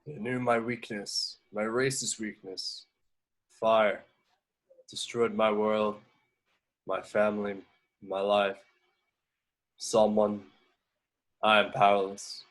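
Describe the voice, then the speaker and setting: Man acting out a slow, solemn, wounded Martian. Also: lowish voice, level tone, poor audio. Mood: pained, solemn. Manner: grave, halting, weighty delivery. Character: wounded, grieving Martian hero, deep and solemn. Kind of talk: amateur table read of a superhero screenplay.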